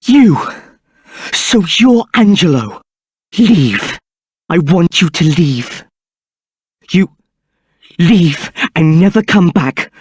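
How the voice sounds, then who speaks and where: An actor playing a kind, earnest young male novice monk in a video game, speaking gently and reassuringly. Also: sits high, sing-song, very slow, pauses often, slightly rough, dry recording, studio quality, full character voice. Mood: bitter, hostile.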